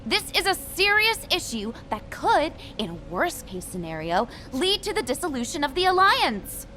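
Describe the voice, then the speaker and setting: High-voiced, forceful female officer. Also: wildly swooping pitch, moderate pace, heavily acted. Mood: indignant, urgent. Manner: emphatic, accusatory. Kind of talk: video game voice acting.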